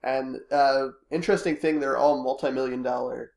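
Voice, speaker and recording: A man hosting a casual podcast chat, congested with a cold and coughing now and then, relaxed and hesitant with many fillers. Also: mid-range voice, normal speed, creaky, roomy sound. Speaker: podcast host.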